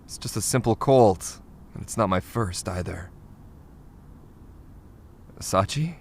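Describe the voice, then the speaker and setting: A man playing a weary, sick young guardian in a manga dub, low-key and gruffly dismissive. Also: expressive, slow pace, a little mellow, exaggerated voice. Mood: weary, reassuring. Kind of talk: anime-style manga dub acting.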